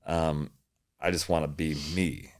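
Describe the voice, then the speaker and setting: A man's voice: very deep voice, flat delivery, unhurried. A young man from Hawaii, a fitness coach, chatting easily as a podcast guest and laughing often. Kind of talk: casual interview chat.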